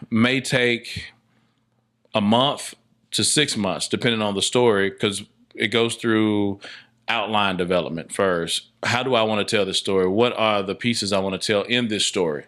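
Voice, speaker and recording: A man's voice: lowish voice, sharp tone. A man speaking as a podcast guest, explaining a process step by step in casual talk, repeating phrases for emphasis. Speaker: podcast guest, filmmaker and playwright.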